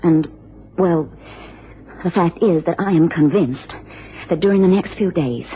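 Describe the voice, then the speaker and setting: A woman's voice: lowish voice, a little slow, close to an everyday voice. A woman playing an anxious, genteel American wife in a radio drama, speaking formally and earnestly with careful phrasing. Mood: worried. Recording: dull, noisy old radio recording.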